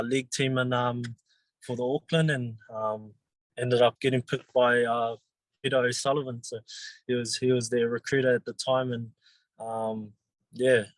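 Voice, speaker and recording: A young New Zealand man in his twenties, a rugby league player answering interview questions in a halting, casual way with many pauses and restarts. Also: mid-range voice, dry recording, low-quality recording.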